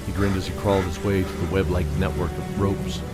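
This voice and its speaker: A man's voice: deep voice, flat delivery, a little fast, loud music behind the voice. A man reading scripted, dramatic narration as the narrator and announcer of an audio drama.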